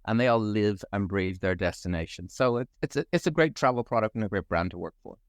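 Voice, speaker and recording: A middle-aged man from Northern Ireland with a softened Belfast accent, speaking as a guest in a podcast interview, enthusiastic and fluent with a few fillers. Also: on the low side, fast talker, dry recording, clean studio sound. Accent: Northern Irish, softened by years abroad. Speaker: travel company managing director, guest.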